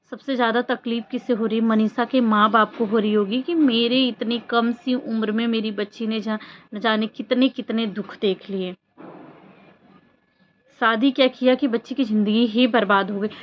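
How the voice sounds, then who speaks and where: A woman vlogger giving casual opinion commentary in an emphatic, conversational way with rhetorical questions. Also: fairly high pitch. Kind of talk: casual opinion commentary on social media drama. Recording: dull lo-fi audio with background noise.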